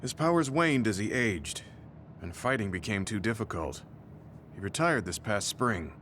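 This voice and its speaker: An actor playing a reserved, old-fashioned veteran soldier in a video game, speaking in a measured, formal, serious manner. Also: male voice, on the low side, lively intonation, a bit bright, a little hiss or hum. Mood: somber, troubled. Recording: background music over dialogue.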